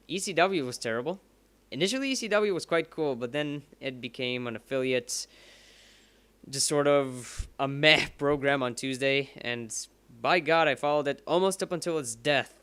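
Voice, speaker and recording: Bright, crisp young man. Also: medium pitch, moderate pace. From Bulgaria. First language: Bulgarian. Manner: rambling and profane, curses at the game. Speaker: let's play commentator. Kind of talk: rambling casual commentary while gaming.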